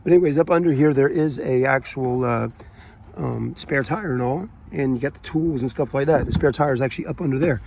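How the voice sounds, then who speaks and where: A man with a somewhat high, raspy voice from a sore throat walks around a car, talking in a loose, chatty way full of fillers and asides. He sounds like a salesman showing a car on an outdoor lot, with a faint hum of ambient noise behind him. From South Carolina. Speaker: car salesman giving a walkaround review. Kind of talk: casual car walkaround tour.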